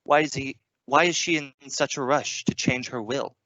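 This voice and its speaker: A man playing a suspicious, menacing stepfather in an old-time radio suspense play, speaking in a deliberate, insistent way. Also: mid-range voice, bright, forceful tone, dry recording, highs cut off, lightly acted. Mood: accusing, menacing. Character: sinister, suspicious murderous stepfather, smugly menacing.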